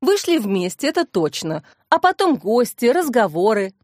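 A haughty, evasive wife of an official in a crime audiobook, voiced by a woman reader. She sounds dismissive and scornful, in a rather high voice. The sound is dry. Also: big pitch swings, moderate pace, darkish tone, no room sound.

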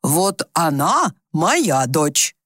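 A woman's voice: fairly high pitch, very animated, unhurried, a bit bright, dry recording, studio quality, pitched above the performer's own voice. A woman voicing an agitated, confused old mother in an audiobook, imperious, insistent and accusing. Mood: indignant, accusing. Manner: insistent, accusing, talks without stopping. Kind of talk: dramatised audiobook reading.

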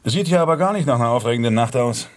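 An actor playing a polite, controlled and quietly sinister police officer in a radio drama. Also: male voice, medium pitch, expressive, racing, lightly acted. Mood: polite, probing. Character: smooth-talking, sinister male police officer from out of state.

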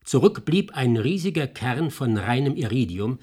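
An actor playing a sharp, persistent male investigator in a German radio play, explaining in a measured, insistent way with a slightly husky voice. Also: mid-range voice, sing-song. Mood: explanatory, assured.

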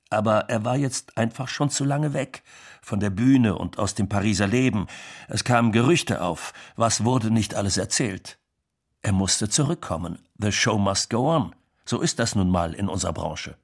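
A man's voice: on the low side, normal speed, gravelly. An actor playing an earnest male showbusiness colleague who looks back with concern in a radio play. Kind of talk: radio play documentary monologue.

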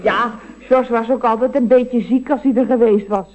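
An actress playing a cheeky, chatty office secretary in a radio comedy, politely sing-song with dry, deadpan jabs. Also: female voice, fairly high pitch, normal speed, natural voice. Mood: dry, teasing. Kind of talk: Dutch radio comedy acting. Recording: dull vintage radio recording.

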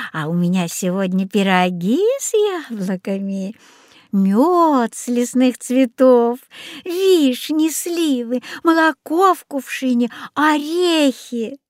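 A woman voicing a sugary old witch in a storybook, luring children with cooing, drawn-out, sing-song talk. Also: high voice, a little mellow, no room sound, studio quality, voice pushed up, full character voice. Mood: coaxing, inviting.

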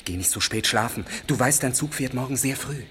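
Radio drama: jittery male amateur criminal, played by an actor, low uneasy mutter, faint background noise. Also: brisk, a bit bright, lightly acted. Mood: anxious. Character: nervous, anxious male amateur crook on the run. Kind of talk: German crime radio drama acting.

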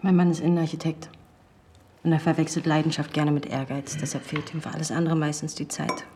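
An actress playing a headstrong, hospitable wife in a naturalistic radio drama, speaking in a brisk, pointed way. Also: female voice, sits low, flat delivery, background noise. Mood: reproachful. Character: headstrong wife, hospitable to strangers, impatient with husband. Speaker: actor in a radio play.

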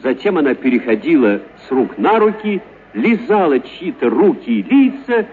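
A man's voice: on the high side, very animated, moderate pace, a little hiss or hum. A man narrating a literary story for radio, dramatically and expressively, drawing out certain words. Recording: thin, narrow-band radio sound.

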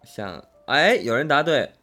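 A man's voice: mid-range voice, big pitch swings, measured pace, bright, forceful tone, faint music behind. A man hosting a fan quiz livestream in a casual, chatty style, putting questions and checking answers.

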